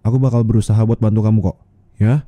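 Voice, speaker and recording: A man playing a gentle, caring husband in an ASMR roleplay, speaking in a tender, coaxing, reassuring way. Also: on the low side, fairly even intonation, fast talker, no room sound, highs cut off, natural voice. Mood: worried, tender. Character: gentle caring young husband comforting his distressed wife.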